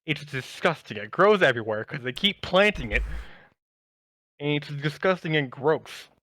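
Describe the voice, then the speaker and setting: A man's voice: fairly high pitch, animated, moderate pace, pushed and bright, no room sound. A young American man co-hosting a podcast, chatting casually with friends. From United States. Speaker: podcast co-host. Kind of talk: casual chat among friends.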